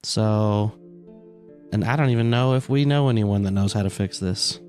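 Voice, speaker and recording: A man playing in a tabletop game, talking casually and haltingly as he searches for his words. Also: lowish voice, darkish tone, clear, resonant tone, faint music behind. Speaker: player. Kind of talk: casual chat at the gaming table.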